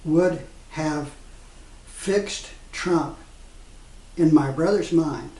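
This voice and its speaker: Slow, mellow man, distant and echoey. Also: fairly high pitch. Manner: measured, halting phrase by phrase. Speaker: poet reading own work. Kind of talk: solemn poetry recital. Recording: echoey room, distant from microphone.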